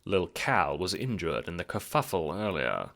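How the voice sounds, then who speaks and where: Dramatic, lively low-voiced male storyteller. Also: normal speed, creaky. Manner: dramatic, wry, rhetorical. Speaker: narrator and producer of an audio drama. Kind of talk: theatrical scripted storytelling narration. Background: music.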